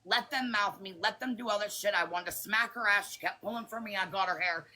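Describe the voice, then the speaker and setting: Raspy-voiced woman, bright and blunt. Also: medium pitch, brisk. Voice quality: raspy smoker's voice. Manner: defensive, blunt. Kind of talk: heated live chat.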